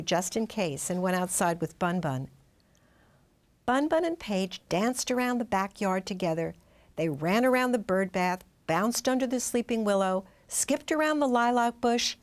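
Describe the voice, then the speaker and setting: A woman reading a children's storybook aloud in expressive, dramatic narration, drawing out some words. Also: mid-range voice, normal speed. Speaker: storybook reader.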